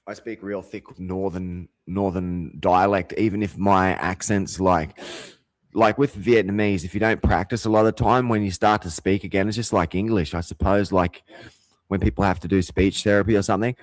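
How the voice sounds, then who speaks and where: A man's voice: low voice, steady tone, moderate pace, dry recording. A man delivering a rambling, profane rant as a vlogger. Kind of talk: rambling monologue.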